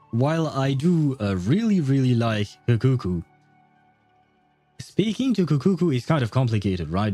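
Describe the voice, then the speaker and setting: A man livestreaming a game, chatting in a rambling, hesitant way with plenty of fillers and laughs. His voice is mellow and sits at an average pitch. It is recorded dry and close, with faint game music underneath. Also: no echo. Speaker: streamer. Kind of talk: livestream gameplay commentary, reading chat.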